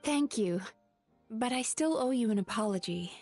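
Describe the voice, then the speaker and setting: Polite, earnest young spirit girl for a fantasy game, higher-pitched voice. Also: animated, normal speed, a little mellow, faint music behind. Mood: remorseful. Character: polite, earnest female dream-eating tapir spirit, young therapist. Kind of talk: video game voice acting.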